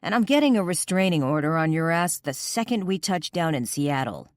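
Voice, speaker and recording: A woman voicing a furious, possessive male rock musician who shouts curses and barks commands in an audiobook. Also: deep voice, sing-song, a little fast, no room sound, studio quality. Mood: raging.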